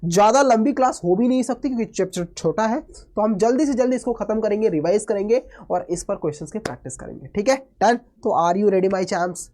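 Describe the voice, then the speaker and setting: A man teaching an online maths lesson, hoarse from a cold, energetic, mixing Hindi with English phrases and constantly checking in with students. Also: high-pitched, fairly quick. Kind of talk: online exam-prep lesson.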